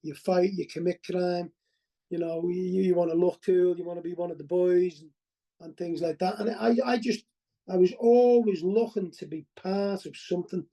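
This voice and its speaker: Man, Liverpool accent, middle-aged, recovery share, rambling, repeats himself, very mellow tone. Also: sits high, fairly even intonation, moderate pace. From Liverpool, England. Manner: rambling and candid, constantly asks "you know what I mean?"; restarts and repeats words. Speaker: recovery meeting speaker. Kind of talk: personal testimony at a recovery meeting. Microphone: video call.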